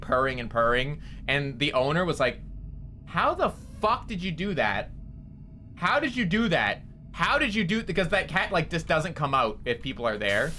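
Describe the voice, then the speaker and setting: A man's voice: mid-range voice, pushed and bright, slight background noise. A young man with a nasally voice, rambling casually to his chat as a streamer while playing a game. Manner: rambling, chatty, answering chat.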